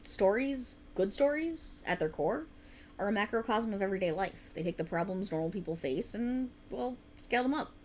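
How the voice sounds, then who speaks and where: A woman's voice: mid-range voice, animated, normal speed, a little mellow, slight background noise, lightly acted. A woman playing a tired, passionate writer girlfriend in an intimate ASMR roleplay, rambling earnestly and getting playfully defensive.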